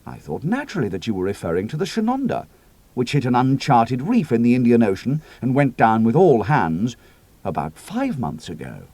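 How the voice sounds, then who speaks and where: A man reading the part of an eager young wireless operator who blurts things out, pitched a little higher than his narration. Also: big pitch swings, moderate pace, dark tone, no room sound.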